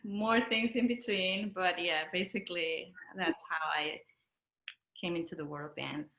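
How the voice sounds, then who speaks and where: A woman from Peru with a Peruvian Spanish accent, speaking casually and haltingly as she searches for words. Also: lowish voice, fairly even intonation, fairly bright tone. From Lima, Peru. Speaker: interview guest, dancer and choreographer. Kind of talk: casual conversational interview. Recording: roomy echo.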